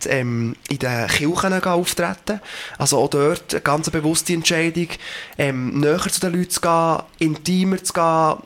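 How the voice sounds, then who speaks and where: A young man in his late twenties speaks with a Swiss German accent, his voice sitting a little higher than most men's. He answers thoughtfully and earnestly, pausing on fillers as he works through his ideas. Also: a bit bright. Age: 20s. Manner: reflective, earnest, many fillers. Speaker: singer. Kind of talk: interview answers.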